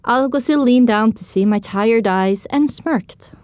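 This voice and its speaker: Woman as a high-voiced heroine narrating, dry studio sound. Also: a little slow, no room sound, close to an everyday voice. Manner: descriptive, expressive storytelling. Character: young female pony heroine recounting her own story. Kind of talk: scripted first-person audiobook narration.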